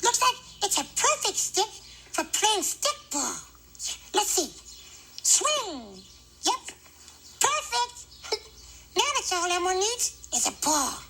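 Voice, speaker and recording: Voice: very high-pitched, sing-song, slow, long pauses, sharp tone, slight background noise, exaggerated voice. A performer voicing a cheerful, childlike furry monster in a children's puppet show, giggly and speaking in the third person. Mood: playful.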